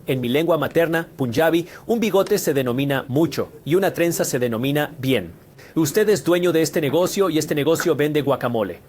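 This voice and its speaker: A man's voice: on the high side, a little mellow. A man giving a methodical finance lesson, walking through tax brackets and figures step by step. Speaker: finance educator.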